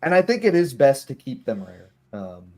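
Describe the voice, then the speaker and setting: A man's voice: medium pitch, sing-song, moderate pace, dark tone. A man chatting casually about tabletop game rules in an explanatory way, sometimes voicing short hypothetical remarks.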